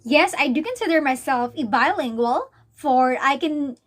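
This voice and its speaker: High-voiced Filipino woman answering a test. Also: animated. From Philippines. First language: Bisaya. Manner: halting, restarts often, reads from notes. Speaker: student. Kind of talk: recorded pre-test answer, half read.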